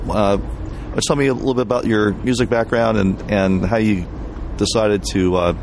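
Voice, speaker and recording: Male podcast host, lowish voice, halting delivery, light street noise. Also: steady tone. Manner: halting, many fillers and restarts. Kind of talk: interview questions.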